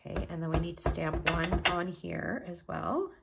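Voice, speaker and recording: A woman's voice: lowish voice, moderate pace, gravelly, heavy background noise. A woman from Canada explaining a card-making project step by step while she works, a little stuffed up from a cold. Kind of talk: crafting tutorial.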